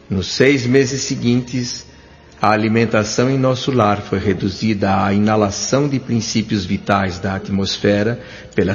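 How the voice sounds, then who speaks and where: A thoughtful doctor recounts his memories , voiced by a man in a measured Brazilian Portuguese accent. The voice sits fairly low and is literary and reflective. The sound is slightly dull, with soft music underneath. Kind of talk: scripted first-person audio drama narration.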